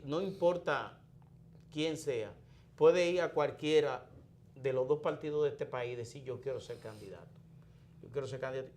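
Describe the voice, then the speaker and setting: A man's voice: a little high, a little mellow. Dominican man giving political commentary. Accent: Dominican Spanish. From Dominican Republic. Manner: deliberate explanatory, frequent restarts and fillers.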